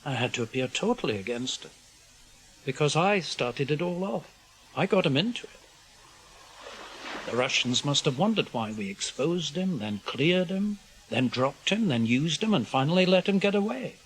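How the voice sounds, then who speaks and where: Guarded British spymaster, slightly high-pitched. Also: male voice, lively intonation, fairly bright tone, poor audio. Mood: earnest, confessional. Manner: measured, clipped, explanatory delivery in short phrases. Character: senior male British intelligence officer, guarded, weary, conscience-burdened spymaster. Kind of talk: radio drama dialogue.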